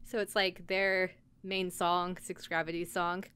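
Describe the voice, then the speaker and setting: A woman's voice: on the low side, little pitch movement, unhurried, fairly bright tone, clean tone. A woman podcast co-host telling a story in casual chat, informally, with lots of "like" and quoted asides. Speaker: podcast co-host recapping an anime episode. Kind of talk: casual chat, episode recap.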